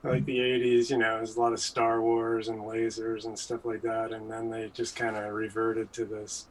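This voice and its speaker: A man's voice: on the low side, monotone, moderate pace, low-quality recording. A man chatting casually as a guest on a panel. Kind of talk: casual chat. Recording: faint background noise.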